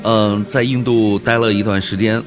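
A man's voice: lowish voice, flat delivery, normal speed. A man who does voice acting, talking casually about taking part in a production. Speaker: amateur voice actor. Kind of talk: casual behind-the-scenes chat. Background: music.

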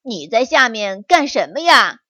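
A woman doing a big gullible tiger, loud and earnest, slow lively lines, dull dry recording. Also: a little high, no echo, full character voice. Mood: plain. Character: gullible male tiger, big and easily scared. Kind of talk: children's story reading.

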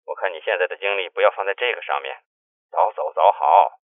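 A man voicing a grave, secretive sworn brother giving hushed, urgent warnings through a telephone filter in an audiobook. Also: low voice, fairly quick, dry recording. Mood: resigned, cautioning. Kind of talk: audiobook character dialogue. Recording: narrow tinny phone-line sound.

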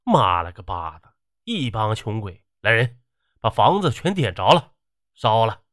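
A man's voice: lowish voice, sing-song, moderate pace, no echo, clean studio sound. A man voicing a short-tempered, lecherous battalion commander in an audiobook, swearing and barking his orders. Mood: furious. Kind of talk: audiobook character acting.

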